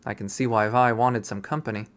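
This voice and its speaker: A man reading a visual novel aloud in expressive first-person narration. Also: lowish voice, fairly quick. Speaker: visual novel readthrough player.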